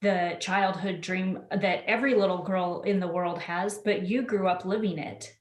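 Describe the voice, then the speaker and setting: A woman's voice: mid-range voice, steady tone, normal speed, echoey room. A woman hosting a home decor podcast, asking upbeat questions and laughing easily in a casual interview.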